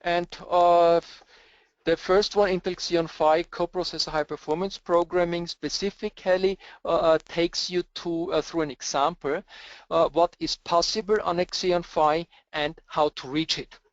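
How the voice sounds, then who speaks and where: A man's voice: on the high side, steady tone, measured pace, no room sound. Hesitant Austrian man in a lo-fi webinar. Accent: Austrian German. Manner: hesitant, many filler sounds. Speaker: presenter, cluster architect. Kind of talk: webinar Q&A answers. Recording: dull lo-fi webinar audio, dry.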